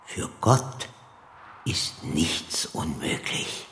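An actor playing a solemn, mild angel of annunciation in a radio play, reciting in a measured biblical manner. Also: male voice, a bass voice, animated, loud music behind the voice, exaggerated voice. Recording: roomy echo, faint background noise.

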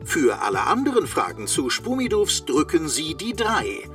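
A man's voice: fairly high pitch, wildly swooping pitch, moderate pace, a bit bright, far from the mic, faint music behind. A man playing a chirpy automated hotline announcer with a salesman's zeal in a children's radio play, using sing-song patter. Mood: cheerful, salesy. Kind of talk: parody phone menu in children's radio play.

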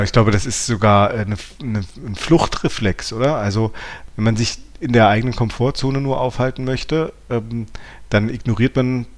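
Lowish-voiced analytical man. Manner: hesitant starts, frequent rephrasing. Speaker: podcast co-host. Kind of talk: analytical podcast conversation.

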